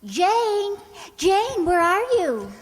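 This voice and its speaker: A woman's voice: extremely high pitch, very animated, very slow, over music, exaggerated voice. A woman playing a scatterbrained, naive and cheerfully confident young working woman in a radio comedy, with an earnest, guileless manner. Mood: excited. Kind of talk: radio sitcom acting. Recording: echoey hall, long reverb.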